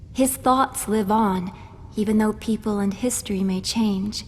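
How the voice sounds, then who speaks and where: An actress playing a wise, compassionate immortal woman in a game cutscene, with a gentle, formal, philosophical manner. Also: on the high side, slight background noise, lightly acted. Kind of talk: dramatic game cutscene acting. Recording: long hall reverb.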